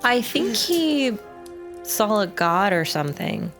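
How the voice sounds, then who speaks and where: A woman's voice: mid-range voice, sing-song, slow, a bit bright, faint music behind, voice pushed up, heavily acted. Woman playing a shaken, weary young girl. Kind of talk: tabletop roleplay.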